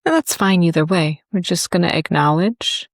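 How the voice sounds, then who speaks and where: Female meditation teacher, gentle and very unhurried, low register with some vocal fry, giving calm body-scan instructions. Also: dry recording, clean studio sound. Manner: gentle, unhurried, with long pauses.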